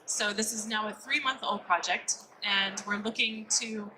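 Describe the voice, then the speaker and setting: A woman with a Californian American accent gives an upbeat talk to a conference room. Her voice is very bright and sits at an ordinary pitch for a woman. A faint hiss of background noise runs under the recording. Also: fairly even intonation, measured pace, poor audio. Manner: upbeat, explanatory, asks and answers her own questions. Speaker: conference presenter. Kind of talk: conference presentation.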